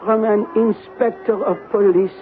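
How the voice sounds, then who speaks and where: A man playing a formal, deferential household servant with hesitant, drawn-out delivery in old-time radio drama style. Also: sits high, fairly even intonation. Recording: narrow-band old radio broadcast, music behind.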